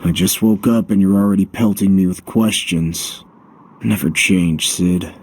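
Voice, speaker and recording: A brooding warrior hero in a game talks in a very deep voice at an unhurried pace. He is dry, sardonic and skeptical, sounding weary of it all. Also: steady tone, darkish tone. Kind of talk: understated video game cutscene acting. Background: game music.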